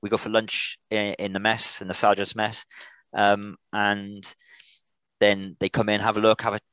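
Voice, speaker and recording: Low, even-toned British man. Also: moderate pace, clean tone, no room sound. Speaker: air traffic controller, guest presenter. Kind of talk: informal question-and-answer after a presentation. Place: online video call.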